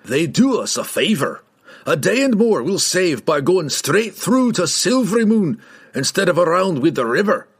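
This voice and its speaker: A man voicing a gruff, defiant, quick-tempered dwarf warrior who growls and barks in rustic speech for a fantasy audiobook. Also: high voice, big pitch swings, voice pushed up, exaggerated voice. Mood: gruff, resolute.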